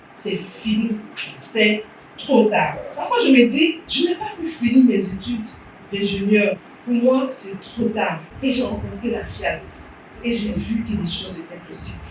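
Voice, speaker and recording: Woman president, motivational speech, higher voice, distant and roomy. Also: slight background noise. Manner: encouraging, rhetorical questions. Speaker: association president. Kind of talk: motivational exhortation. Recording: echoey hall from far off.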